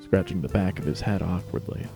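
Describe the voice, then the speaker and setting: A man's voice: sits low, a little fast, vocal fry, music in the background. A man narrating a scripted story as a dramatic reading.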